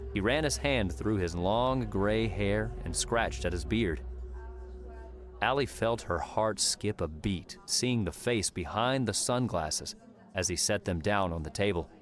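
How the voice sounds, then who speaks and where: A man reading scripted story narration as an audiobook narrator. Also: on the low side. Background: music.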